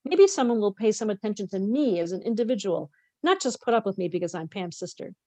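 A woman's voice: mid-range voice, lively intonation, quick pace, mellow, dry recording. Woman reading aloud, close dry sound. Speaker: podcast co-host reading a novel aloud. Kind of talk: read-aloud narration with casual asides.

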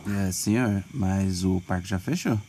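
A man's voice: low voice, a little slow, background noise. A man playing a reluctant, evasive amusement park night watchman in an audio drama, colloquial, with a Brazilian accent. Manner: hedging, colloquial. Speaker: voice actor.

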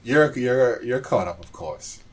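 A man's voice: medium pitch, expressive, unhurried. A man co-hosting a podcast in casual chat about anime, with a playful, bantering way of talking. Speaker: podcast co-host.

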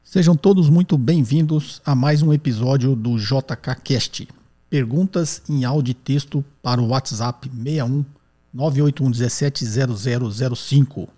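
A man hosts a podcast and answers a listener's question in an explanatory way. His voice is mellow and warm at an ordinary middle pitch, and he keeps checking in with a quick "right?" as he walks through each point. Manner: explains step by step, often tags sentences with "né?".

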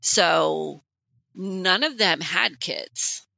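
A woman in her late forties co-hosting a podcast, telling personal stories in an animated, conversational way. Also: on the low side, very slow, creaky, no room sound. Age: late 40s. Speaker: podcast co-host. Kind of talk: casual personal podcast chat.

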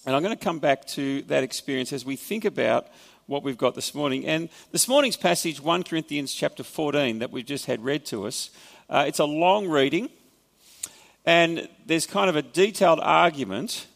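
A man's voice: a little high, normal speed, vocal fry, a bit bright, long reverb tail. A man with an Australian accent preaching a sermon, explaining scripture in a conversational way with wry asides. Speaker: pastor. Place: church hall.

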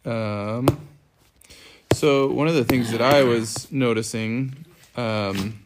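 A middle-aged man casually explaining scripture in a family discussion, halting as he thinks aloud. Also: mid-range voice, noisy background. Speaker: family scripture reading host.

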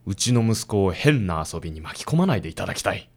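Male actor as a stern policeman father, curt, formal, disapproving, fast delivery, radio drama. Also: sits low, very animated, darkish tone, full character voice. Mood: stern, reproachful.

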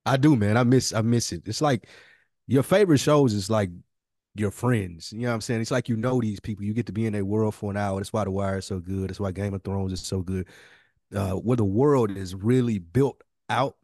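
A man's voice: fairly low pitch, fairly even intonation, brisk, darkish tone. A man co-hosting a podcast, chatting casually about TV in a laid-back, colloquial way. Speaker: podcast co-host. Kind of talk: casual chat about TV shows.

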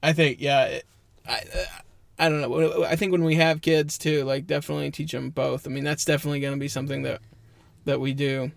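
A man's voice: fairly high pitch, flat delivery, fairly quick, creaky, dry recording. A young man co-hosting a podcast in a casual, joking way, laughing often. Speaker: podcast co-host. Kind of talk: casual chat.

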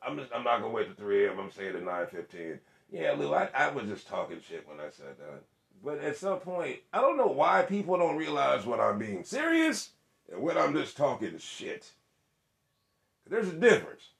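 A man co-hosting a casual chat, brash and joking with crude banter, who calls his voice monotone. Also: mid-range voice, moderate pace, gravelly, reverberant. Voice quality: monotone delivery. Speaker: podcast co-host.

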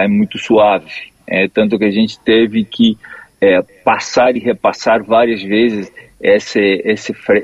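Husky, low-voiced male expert on lo-fi link. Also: no echo. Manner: hesitant, measured, restarts technical explanations. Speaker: forensic expert, interview guest. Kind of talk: explanatory interview answers.

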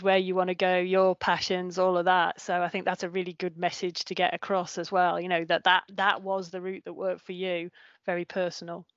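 A woman's voice: fairly low pitch, no echo, highs cut off. A woman from Newcastle upon Tyne co-hosting a podcast, asking questions in a friendly, chatty way. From Newcastle upon Tyne, England. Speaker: podcast co-host. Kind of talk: casual interview chat.

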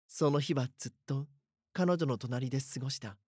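An actor playing a frightened schoolboy who narrates a horror audio drama in an earnest, dramatic way. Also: male voice, mid-range voice, normal speed, a little mellow, husky, no room sound, studio quality, close to an everyday voice. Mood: somber, uneasy. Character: frightened schoolboy haunted by his doppelganger. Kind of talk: first-person scripted horror narration.

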